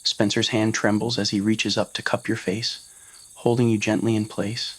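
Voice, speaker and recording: A man's voice: fairly low pitch, flat delivery, moderate pace, fairly bright tone, slightly rough. A man reading a scripted romantic roleplay story as narrator, in an ASMR audio style.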